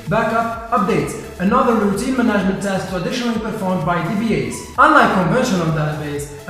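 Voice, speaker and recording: A young man in his twenties presents a web project to judges. His voice sits high and he explains each point earnestly from a script. The room rings with heavy echo, and faint music plays underneath. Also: fairly bright tone. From Algeria. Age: 20s. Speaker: student web developer pitching to a jury. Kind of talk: reading a prepared pitch presentation.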